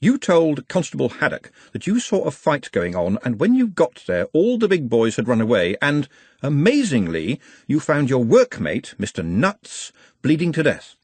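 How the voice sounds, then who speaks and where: A man's voice: mid-range voice, wildly swooping pitch, moderate pace, a little mellow, slightly rough, no echo, voice pushed up, exaggerated voice. A man voicing a tired female police sergeant with a dry, mocking manner. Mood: sardonic.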